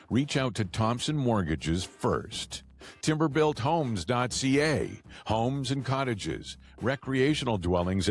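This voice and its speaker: A man hosting a podcast and radio show, reading intros and sponsor plugs and chatting casually. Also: mid-range voice.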